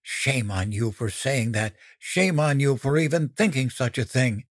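Male narrator as furious mother, raised pitch, domineering, stern, indignant, emphatic, audiobook drama, dry sound. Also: a little slow, no room sound, natural voice. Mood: angry, indignant. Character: furious, domineering mother scolding her son. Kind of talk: dramatic audiobook character acting.

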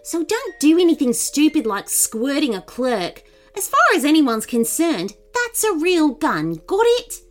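A woman's voice: medium pitch, sing-song, moderate pace, hardly any pauses, soft background music, close to an everyday voice. A woman voicing a mean, bossy, hot-tempered woman robber in a storybook, snapping shrilly and scornfully.

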